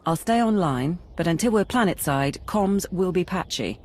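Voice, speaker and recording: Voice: medium pitch, expressive, moderate pace, natural voice. An actor playing a methodical military adviser in a video game, giving a precise, businesslike briefing. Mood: focused briefing. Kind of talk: video game cutscene acting.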